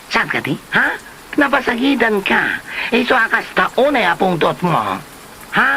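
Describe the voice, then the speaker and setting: A man's voice: high-pitched, big pitch swings, measured pace, sharp tone, a little hiss or hum, full character voice. A man with an Ilocano Filipino accent playing a brash, taunting, gossipy neighbor in an amateur comedy drama. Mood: scolding, taunting.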